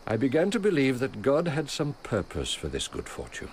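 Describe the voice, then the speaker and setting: An actor playing a devout, shrewd old merchant and loyal steward in a radio drama, speaking in an earnest, measured way. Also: male voice, medium pitch, wildly swooping pitch. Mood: fervent. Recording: radio drama with faint background noise.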